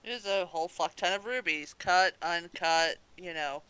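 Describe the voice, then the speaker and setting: A woman with a bright, crisp voice, pitched a little high and recorded dry and close. She chats casually as a podcast co-host, with sweary exclamations. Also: measured pace, no echo, rough recording. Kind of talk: casual review chat.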